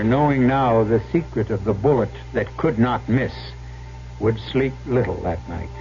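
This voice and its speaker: A man hosting and narrating an old radio mystery in a measured, solemn, ominous storytelling style. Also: medium pitch. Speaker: host and narrator. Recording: muffled vintage radio recording. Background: prominent music.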